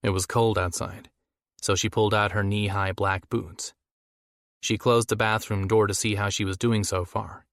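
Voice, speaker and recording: A man's voice: lowish voice, flat delivery, normal speed, a bit bright, dry recording, clean studio sound. A man reading romance fiction as a scripted audiobook narration.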